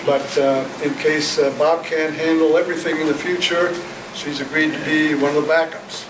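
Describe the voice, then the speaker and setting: Higher-pitched man, distant in echoey room. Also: flat delivery, moderate pace, background noise. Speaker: club member introducing newcomers. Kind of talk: informal spoken introduction. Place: indoor meeting room.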